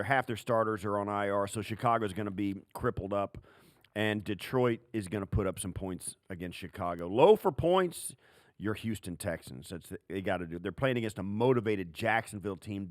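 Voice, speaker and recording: Low-voiced man in casual sports talk. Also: no echo, studio quality. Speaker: podcast co-host.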